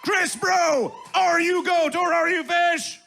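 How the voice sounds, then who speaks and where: A man's voice: very high-pitched, fairly even intonation, slow pace, creaky, over loud music. A man working as a festival master of ceremonies, hyping the event in grandiose, repetitive, theatrical proclamations. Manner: grandiose, chanting, repetitive proclamations in carnival-barker fashion. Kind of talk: theatrical hype emcee announcing to a festival.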